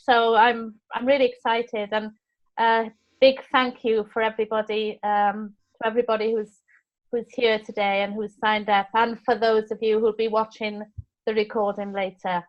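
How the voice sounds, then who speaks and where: A woman with a Welsh accent giving an encouraging, informal online lesson as course tutor, often restarting her phrases. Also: on the high side. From Wales. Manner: warm, encouraging, with frequent restarts. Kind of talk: live online teaching session. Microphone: video call. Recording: dull lo-fi call audio.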